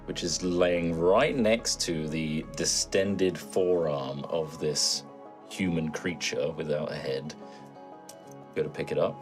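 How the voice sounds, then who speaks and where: Male game master, very low voice, descriptive horror narration over a music bed. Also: steady tone, takes it slowly. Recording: clear music bed behind.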